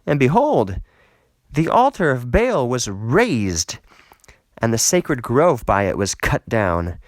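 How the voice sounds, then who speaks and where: A man narrating scripture from a script in a solemn, deliberate and dramatic manner. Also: medium pitch, no room sound, clean studio sound. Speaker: Bible reader.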